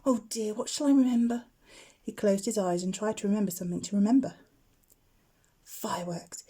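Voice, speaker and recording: A woman's voice: medium pitch, dark tone, slightly rough. A woman narrating a children's bedtime story as a teacher would.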